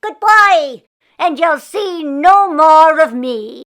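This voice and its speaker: A woman voicing a weary male pooka, a once-lazy servant spirit in a storybook, with a drawn-out, plaintive delivery. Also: sits high, lively intonation, fairly bright tone, pitched above the performer's own voice, exaggerated voice. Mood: grateful, final.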